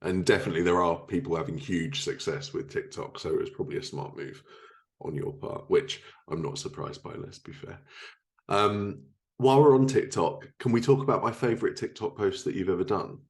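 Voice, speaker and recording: Male podcast host, clearly low voice, relaxed conversational questioning, teasing and sweary. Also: brisk. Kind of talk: casual interview chat.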